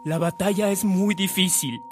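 A young actor playing an earnest, devout, resolute seminarian in a radio drama, solemn in manner. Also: male voice, sits high, steady tone, moderate pace, slight background noise, lightly acted. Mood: resolute, moved.

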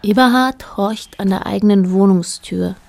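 A woman narrating a literary radio drama in a dry, measured, quietly ironic manner. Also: medium pitch, gravelly.

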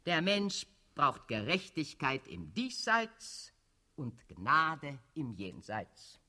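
A man's voice: a little high, bright, forceful tone, full character voice. An actor playing a scheming old cardinal statesman in a radio drama, fanatical and coldly authoritarian, with declamatory, sardonic delivery. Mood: fanatical, solemn. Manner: declamatory, sardonic, chuckling rhetoric. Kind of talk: German-language radio drama acting.

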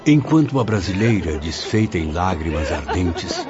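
Male actor as formal, inquisitive memoirist, lowish lively voice, muffled recording with music. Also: normal speed. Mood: reflective. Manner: formal, literary, expressive. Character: courteous, curious spirit man recounting his memoirs. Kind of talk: radio drama acting. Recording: dull audio with music bed.

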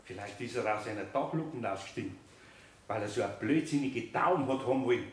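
A man's voice: mid-range voice, quick pace, echoey room, slight background noise, close to an everyday voice. A man playing a good-hearted, flustered older craftsman with a Bavarian accent, hesitant and muttering, in a children's radio play. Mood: worried muttering.

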